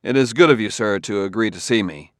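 A man's voice: fairly low pitch, fairly quick, no room sound, clean studio sound, close to an everyday voice. A man playing a firm, probing detective. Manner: firm, pressing questions. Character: terse, persistent male detective.

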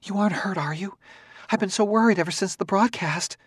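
A man voicing a nervous, worried wife in an audiobook, with a breathless, hurried, fretful manner. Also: a little high, big pitch swings, dry recording, clean studio sound, pitched above the performer's own voice. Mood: hurried worry. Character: nervous, anxious wife, smiling politely through worry. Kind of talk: audiobook character voice.

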